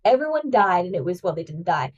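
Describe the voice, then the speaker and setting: A woman guest-hosting a podcast, opinionated and animated, laughing often in casual discussion. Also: on the low side, fast, far from the mic, muffled recording, slight background noise.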